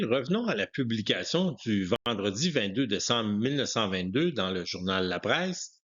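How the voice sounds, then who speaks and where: A man's voice: on the low side, a bit bright, lo-fi sound. A man reading scripted historical narration as a documentary narrator.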